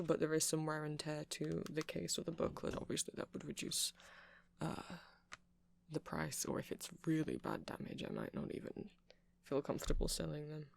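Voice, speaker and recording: Slow, creaky-voiced shy nerdy shop clerk man acting. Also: fairly high pitch, slight background noise. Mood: shy, welcoming. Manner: hesitant, awkward, apologetic, stammering, gentle. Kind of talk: ASMR roleplay, soft casual monologue to listener.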